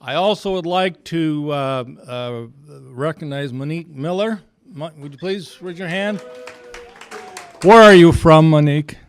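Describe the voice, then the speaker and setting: Male club president, slightly high smooth voice, folksy and halting, making informal announcements to members. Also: faint music behind. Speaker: club president chairing a meeting. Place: club meeting room.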